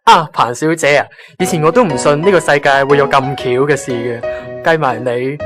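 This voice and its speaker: Casting: actor as cheeky young male colleague, fairly high voice, Hong Kong accent, music behind him. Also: normal speed, natural voice. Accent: Hong Kong Cantonese. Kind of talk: Cantonese online radio drama acting.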